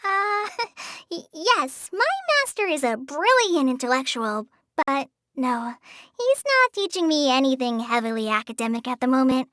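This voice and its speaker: A timid young forest ranger girl from a video game, with a very high, lively voice. She hesitates, sighs and apologizes as she talks. The studio recording is dry and close. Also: measured pace, no echo, heavily acted. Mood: gentle. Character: shy young female forest ranger apprentice. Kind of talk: game character voice lines. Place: studio booth.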